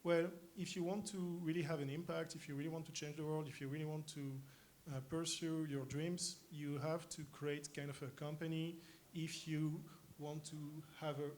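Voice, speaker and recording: French-accented young man, higher pitched, conference guest, faint hiss on the track. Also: little pitch movement, darkish tone. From France. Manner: thoughtful, pauses often with uh and um. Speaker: interviewed guest, company co-founder. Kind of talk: conference stage interview. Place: conference arena stage.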